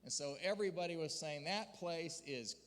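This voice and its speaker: Male church leader, fairly high voice, earnest storytelling sermon delivery, long hall reverb. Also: moderate pace, fairly bright tone. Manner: earnest storyteller, rising to emphatic peaks. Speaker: denominational church leader speaking to a district gathering. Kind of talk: sermon-style report with slides.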